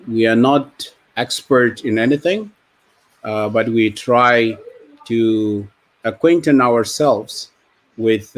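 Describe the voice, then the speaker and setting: A man addressing an online community meeting, pausing often with fillers and speaking slowly and deliberately. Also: fairly low pitch. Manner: halting, many fillers, switches into Arabic.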